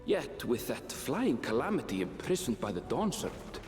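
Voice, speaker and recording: A man's voice: medium pitch, sing-song, normal speed, a little mellow, lightly acted. A male actor playing a solemn storyteller narrating an ancient legend in a poetic style for a video game. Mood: solemn, grand. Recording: echoey long reverb, faint music.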